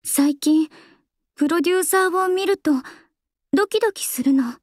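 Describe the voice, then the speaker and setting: An actress voicing a young female idol singer in a game, calm and composed, soft-spoken and earnest. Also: very high-pitched, moderate pace, dry recording, studio quality. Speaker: game character voice. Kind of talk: game voice lines. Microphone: close studio mic, dry.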